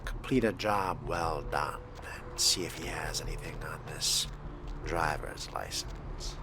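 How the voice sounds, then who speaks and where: Slow, very deep man playing a deadpan vampire. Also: background noise. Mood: deadpan, wry. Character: male vampire fledgling, dry, smug, self-amused loner. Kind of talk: role-play narration of a video game.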